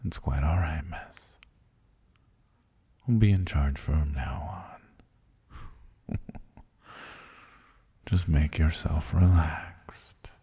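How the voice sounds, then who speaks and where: A man voicing an obsessive, soft-spoken butler who has kidnapped his lady, talking in a hushed, half-whispered, gently menacing way for an ASMR roleplay. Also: very deep voice, very slow, long pauses, husky, dry recording, heavily acted. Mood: hushed confession. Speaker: voice actor.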